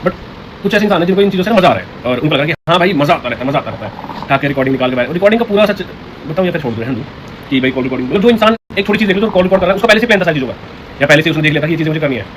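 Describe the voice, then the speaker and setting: A man's voice: a little high, lively intonation, rapid-fire, rough recording. A man vlogger speaking casually and directly to viewers in a rambling, aggrieved way. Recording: clear vehicle noise in background.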